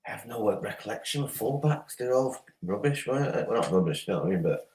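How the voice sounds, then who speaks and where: A man with a lowish voice joins a casual football chat. He talks in quick, emphatic bursts and repeats his words as he argues. The sound is roomy and echoey. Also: lively intonation, a little mellow, slight background noise. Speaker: podcast guest. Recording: echoey room.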